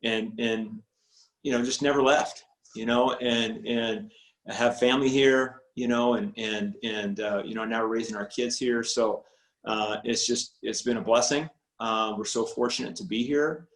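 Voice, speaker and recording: A man in his forties, a high school football coach, talking casually on a video call, rambling with many restarts and fillers. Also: steady tone, a little slow. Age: 40s. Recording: roomy echo on video call audio.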